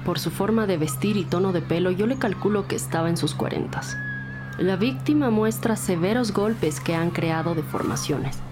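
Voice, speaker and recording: A woman's voice: lowish voice, moderate pace, lightly acted. A woman playing a senior police investigator in an audio drama, methodical and businesslike, with official phrasing and music behind. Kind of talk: scripted audio drama dialogue.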